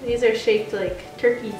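A woman's voice: on the high side, slow, recorded from a distance. A young woman with a congested head cold, chatting casually while she unboxes a gift box and talks to her dogs. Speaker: vlogger unboxing dog gifts. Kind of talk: casual chat. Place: small bedroom, seated on a couch. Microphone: camera mic at a distance. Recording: roomy, off-mic, with background music.

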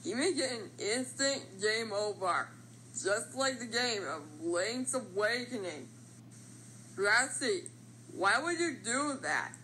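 Confident cartoon triangle played by a woman: unhurried, bright voice, deliberate and playful, some background noise. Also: fairly high pitch, expressive, heavily acted, low-quality recording. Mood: admonishing. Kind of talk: animated character voice lines.

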